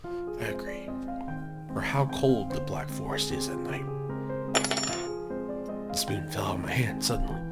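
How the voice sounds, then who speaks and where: Guy with a low voice doing a casual lets play, reading the story out, loud music behind him. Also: unhurried, long pauses. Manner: reads on-screen text aloud in a storytelling way. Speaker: game playthrough host. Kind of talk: casual gaming commentary and read-aloud narration. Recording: music under the voice. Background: game music.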